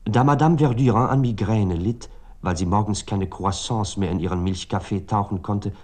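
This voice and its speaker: An actor as low, mellow reflective male narrator. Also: natural voice. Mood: measured, gently ironic. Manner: measured, articulate, long flowing sentences. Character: reflective, ironic male first-person literary narrator. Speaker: narrator of a literary radio play. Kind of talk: literary scripted narration.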